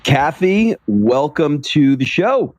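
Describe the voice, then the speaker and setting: A man's voice: a little high, big pitch swings, slow pace, a little mellow, dry recording. A man hosting an interview podcast, speaking in an upbeat presenter style. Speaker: podcast host. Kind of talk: upbeat scripted introduction and interview.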